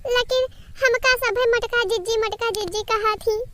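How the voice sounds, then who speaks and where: A woman voicing a stout Awadhi-speaking village woman in a comedy skit, loudly and melodramatically wailing and lamenting. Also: very high voice, fairly even intonation, moderate pace, background noise. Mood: tearful lament. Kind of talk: rustic comedy skit acting.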